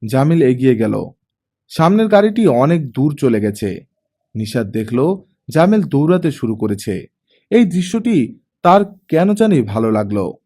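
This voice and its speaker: A man reading a story aloud as an expressive audiobook narrator. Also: medium pitch, unhurried, long pauses.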